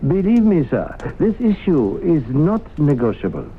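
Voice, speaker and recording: A fussy, scheming professor in an old radio play, feigning reluctance with genteel hesitations. His pitch swings widely, and the sound is narrow and hissy, like an aged broadcast. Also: huge pitch swings, normal speed. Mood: stubborn, wheedling. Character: wily, fussy male professor haggling over his demonstration. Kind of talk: 1970s radio drama acting.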